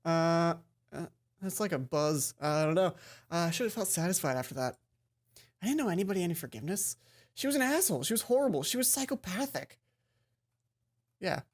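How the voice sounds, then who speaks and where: A woman's voice: lowish voice, expressive, brisk, long pauses. A woman reading a visual novel aloud as a let's play host, adding her own asides. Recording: background game music. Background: music from the game.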